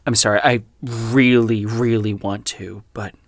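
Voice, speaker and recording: A man playing a self-doubting young podcaster in an audio drama, speaking in a halting, unsure way. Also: lowish voice, steady tone, dry recording, studio quality. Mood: hesitant, torn. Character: hesitant, self-doubting young male podcaster turned investigator.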